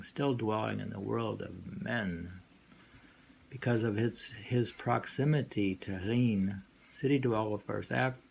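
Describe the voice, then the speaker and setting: A man reading poetry aloud with casual commentary, halting and often repeating words and phrases. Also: medium pitch, creaky, a little mellow. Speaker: reader and host. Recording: noisy homemade recording.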